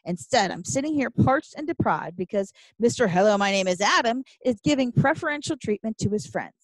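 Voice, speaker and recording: A woman's voice: a little high, lively intonation, brisk, no room sound, poor audio. A woman voicing a brash, melodramatic seventh-grade boy who gripes theatrically in slangy audiobook dialogue. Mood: aggrieved, theatrical.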